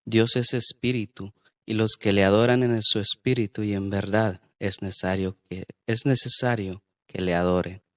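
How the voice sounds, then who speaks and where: A man with a very low, smooth, resonant voice hosts a church podcast. He reads scripture and talks hesitantly, filling gaps with long pauses. The sound is close and dry. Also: fairly even intonation, no room sound. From Guatemala. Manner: hesitant, many drawn-out filler pauses. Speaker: podcast host. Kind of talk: church podcast hosting, reading scripture aloud.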